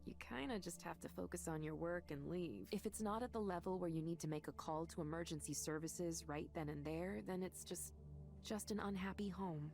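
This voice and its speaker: An actress voicing a gentle, sympathetic supervisor in a visual novel game, measured and reassuring, explaining things patiently. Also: female voice, lowish voice, lightly acted. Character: gentle sympathetic female supervisor of counseling proxies. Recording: music behind the voice.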